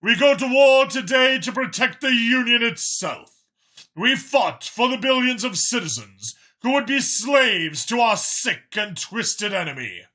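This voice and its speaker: A man's voice: big pitch swings, a little slow, bright, forceful tone, full character voice. A man voicing a noble alien war commander in a sci-fi audiobook, delivering solemn, rousing oratory. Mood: impassioned, rallying. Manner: solemn, impassioned, booming oratory. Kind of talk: dramatised science fiction audiobook.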